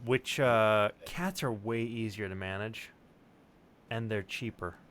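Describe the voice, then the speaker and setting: A man's voice: fairly low pitch, takes it slowly, a bit bright, a little hiss or hum. A man co-hosting a casual livestream chat, joking with dry humor. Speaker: co-host.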